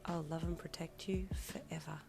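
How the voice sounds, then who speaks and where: A hoarse woman voicing a doting young dad. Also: deep voice, steady tone, moderate pace, over music. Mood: tender, hushed. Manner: tender with the baby, teasing and upbeat with his friend. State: hoarse, losing her voice. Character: young adult male hero, doting new father.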